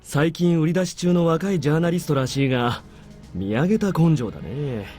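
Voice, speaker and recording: Man acting a brisk, blunt army major. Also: fairly high pitch, lively intonation, a little mellow, close to an everyday voice. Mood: wry amusement. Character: blunt, unsentimental male army major, wryly dismissive. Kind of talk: Japanese visual novel voice acting. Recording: game music under the voice.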